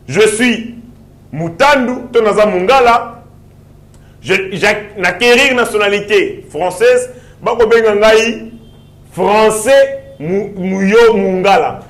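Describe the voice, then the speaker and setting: A man with a Congolese accent making a political argument as a panel guest, in a didactic, repetitive way. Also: high voice. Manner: didactic, repetitive, explains with examples. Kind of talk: political argument, mixing French and Lingala. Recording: roomy echo on the voice.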